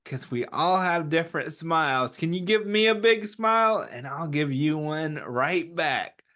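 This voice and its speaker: A man's voice: a little high, lively intonation, measured pace, sharp tone. A man hosting a children's story time, reading aloud and chatting to young listeners in an encouraging way, often asking questions and answering them himself.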